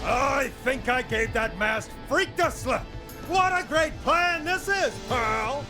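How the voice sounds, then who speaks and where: A man putting on a silly impression of an excitable male editor in a video game, exaggerated and gloating. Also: very high-pitched, slow pace, music in the background, pitched above the performer's own voice, exaggerated voice.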